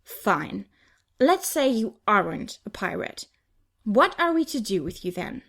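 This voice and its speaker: A woman's voice: mid-range voice, big pitch swings, measured pace, a bit bright, exaggerated voice. A bossy teenage boy leader from a fantasy storybook, read by a woman. He sounds suspicious and demanding, handing out orders and accusations with a scowl. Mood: brisk, decisive.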